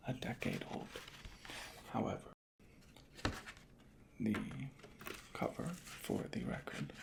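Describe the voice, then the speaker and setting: A hushed, breathy ASMR voice, soft-spoken and whispering in a deliberate, unhurried roleplay as an inspector. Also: medium pitch, noisy background. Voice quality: breathy whisper with creaky fry. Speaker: ASMR creator playing a record inspector.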